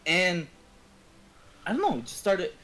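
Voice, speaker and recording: Casting: male game streamer, unhurried, slangy, foul-mouthed casual talker. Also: high voice, huge pitch swings, pushed and bright. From Ontario, Canada. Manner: rambling, slangy, profanity-laden. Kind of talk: casual profane chat.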